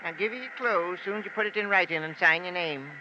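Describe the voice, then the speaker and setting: A sly old island swindler. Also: male voice, sits high, quick pace, bright, forceful tone. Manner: drawling, hesitant, sly haggling. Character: wily old male island boatman, haggling swindler. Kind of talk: 1950s radio drama acting. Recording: dull lo-fi old radio recording with hiss.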